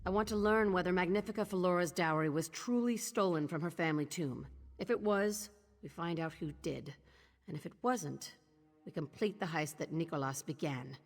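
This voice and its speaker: A woman voicing a determined, guarded female thieves guild leader in a video game, resolute and measured. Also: lowish voice, big-room sound.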